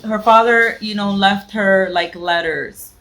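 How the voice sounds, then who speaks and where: A woman's voice: mid-range voice, unhurried, a bit bright. A blunt, sassy woman ranting in a casual reading vlog, repeating phrases for emphasis. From the Bronx, New York. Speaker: book vlogger. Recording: noisy home vlog recording.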